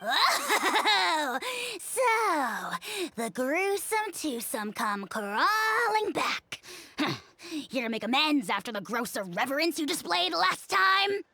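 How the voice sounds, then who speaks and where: Shrill, pompous tiny dragon lord. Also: female voice, very animated, takes it slowly, gravelly, sharp tone, a little hiss or hum, heavily acted. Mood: haughty, gloating. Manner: pompous, theatrical, grandiose declarations and threats. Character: tiny bombastic pompous dragon lord companion, easily outraged. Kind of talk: video game character acting.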